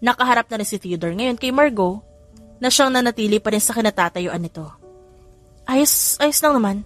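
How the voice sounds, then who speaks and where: A woman's voice: mid-range voice, fairly quick, fairly bright tone. Woman narrating a romance story over music. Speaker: audiobook narrator.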